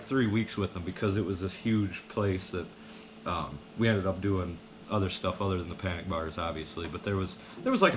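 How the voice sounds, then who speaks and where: A man's voice: fairly low pitch, a bit bright, a little hiss or hum. A man tells casual, meandering personal stories in a folksy way. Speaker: vlogger telling personal stories. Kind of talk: casual rambling storytelling.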